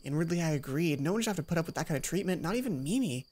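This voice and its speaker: A woman's voice: deep voice, lively intonation, very fast, a little mellow. A woman hosting a let's play, reading visual novel narration aloud and dropping in casual asides.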